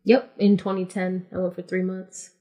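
A woman's voice: on the low side, completely flat delivery, normal speed, dark tone. A woman from California, a podcast guest in casual interview chat, explaining things matter-of-factly and step by step with frequent "like".

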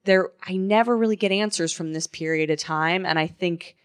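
Low-voiced, smooth woman podcast host. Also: moderate pace. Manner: animated storytelling with swearing. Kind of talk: casual chat.

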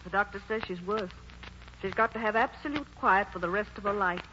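Woman acting earnest tired actress daughter in old radio play, bright voice, long reverb, muffled. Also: medium pitch, brisk, natural voice. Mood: grave, resigned. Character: weary, devoted daughter, celebrated stage actress. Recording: muffled, noisy radio broadcast, hall-like reverb.

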